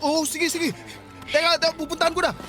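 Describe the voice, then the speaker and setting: A high, whiny Filipino slacker dad. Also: male voice, flat delivery, fairly quick. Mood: flustered, evasive. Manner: whiny, stammering excuses. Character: irresponsible, defensive young father and gambler. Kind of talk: radio drama acting. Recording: music behind the voice. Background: background music.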